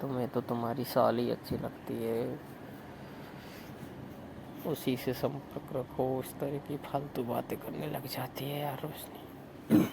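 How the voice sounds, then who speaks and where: A man acts out a resigned, flirty brother-in-law on a secret night call. His mid-pitched voice is extremely gravelly and creaky, and he talks in a low-key, conversational way. Clear noise sits behind the phone recording. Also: level tone, slow, pauses often. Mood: resigned, complaining. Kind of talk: intimate staged phone-call acting in casual Hindi.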